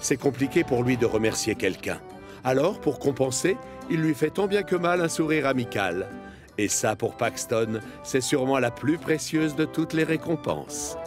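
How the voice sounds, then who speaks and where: A man narrating a children's animated story in a scripted, expressive storytelling style with deliberate phrasing. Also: mid-range voice, over music.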